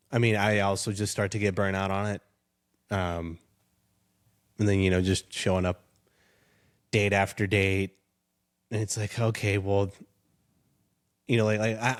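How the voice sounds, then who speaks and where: A young man chatting loosely on a podcast, his fairly low voice wandering through fillers and half-finished thoughts. He talks himself down now and then, easy and unhurried. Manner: rambling, filler-heavy, self-deprecating. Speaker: podcast guest. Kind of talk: casual chat.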